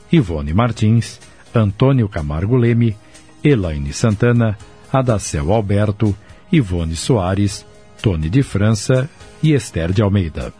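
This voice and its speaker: A man's voice: lowish voice, mellow, husky. A man with a Brazilian accent narrating a radio drama and presenting it in a scripted style. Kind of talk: scripted narration and credits reading.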